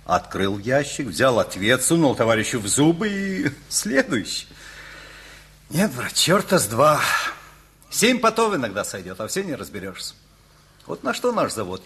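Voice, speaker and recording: An actor playing a harried, good-natured factory official nearing fifty in a radio play, with a folksy, philosophizing manner. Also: male voice, a little high, a bit bright, husky, full character voice. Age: middle-aged.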